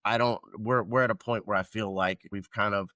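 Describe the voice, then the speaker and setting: A man's voice: lowish voice, steady tone, fairly quick, bright, forceful tone, no room sound, clean studio sound. A man in his thirties from Georgia who hosts a podcast and talks in a loose, rambling way with casual swearing. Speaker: podcast host, veteran. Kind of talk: casual chat.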